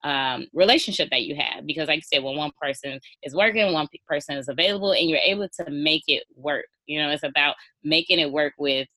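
A woman's voice: sits low, a little fast, hardly any pauses, pushed and bright, no echo. A woman guest-hosting a podcast interview, encouraging and talking in long run-on thoughts. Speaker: guest host.

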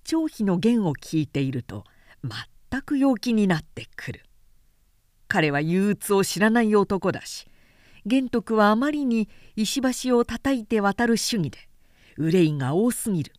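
A woman reads literary prose in an expressive audiobook style. Her pace is slow and deliberate, with lively, varied pitch. The recording is very dry. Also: no room sound. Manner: clear, expressive storytelling. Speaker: narrator, reader.

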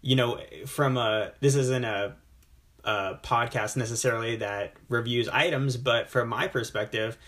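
A man's voice: mid-range voice, a little slow, a bit bright. A man hosting a casual podcast interview, talking enthusiastically and at length, often stopping to say "you know". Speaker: podcast host.

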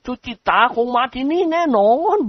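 A reader voicing a timid, panicky male servant who stammers in a high squeal. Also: moderate pace, no echo, muffled recording, lightly acted.

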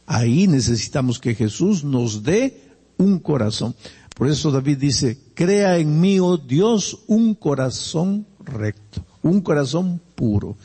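An older man from Peru with a Peruvian Spanish accent, preaching a sermon and telling personal stories, animated and swinging between loud emphasis and quiet asides. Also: on the high side, slow, slightly rough, in a large hall.

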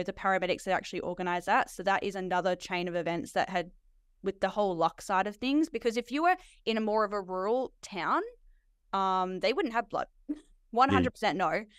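An Australian woman, a nurse hosting a podcast interview, talking in an animated way with frequent laughs. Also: mid-range voice, normal speed, clean tone, no room sound, clean studio sound. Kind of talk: conversational interview.

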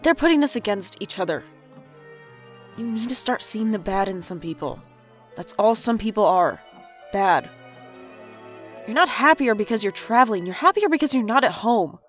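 A woman's voice: mid-range voice, expressive, moderate pace, pauses often, soft background music, natural voice. A woman acting as a sardonic, stubborn older sibling in a naturalistic audio drama, with a dry, sarcastic delivery. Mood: angry, insistent. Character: sardonic, guarded older sibling, stubborn and bitter about parents.

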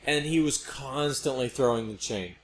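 A man's voice: medium pitch, normal speed. A middle-aged American man co-hosting a podcast in casual, speculative conversation. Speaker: podcast co-host. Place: home studio. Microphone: podcast microphone. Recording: clearly noisy amateur podcast recording.

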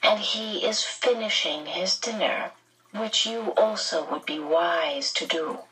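A woman voicing a smooth, menacing man in an audiobook, slow and patronizing, with a whispery, breathy tone. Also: medium pitch, sharp tone, recorded from a distance, rough recording. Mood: falsely soothing. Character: smooth, menacing, condescending man with red eyes.

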